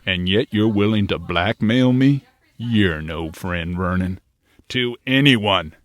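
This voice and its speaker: An actor playing a bitter doctor cornered by blackmail in an audio drama. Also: male voice, lowish voice, slow pace. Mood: bitter, accusing.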